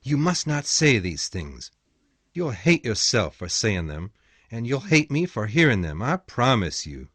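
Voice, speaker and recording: A soft-spoken young gentleman from a storybook, gentle but pained and torn, as a man acts him. His voice is restrained yet lively, moving up and down a lot. The recording is dry and close. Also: medium pitch, measured pace, breathy edge, no room sound, exaggerated voice. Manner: soft, restrained, turning harsh when refusing.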